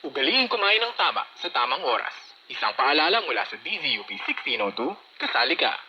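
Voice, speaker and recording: A man's voice: medium pitch, big pitch swings, a little fast. Muffled Filipino male radio announcer. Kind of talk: scripted public-service announcement. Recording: muffled, noisy AM radio aircheck.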